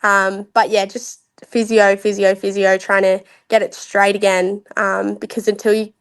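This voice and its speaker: A woman's voice: mid-range voice, flat delivery, moderate pace, clear, resonant tone. A young woman, a rugby league player talking openly and easily as a podcast guest. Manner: open, easygoing, candid. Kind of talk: casual interview chat.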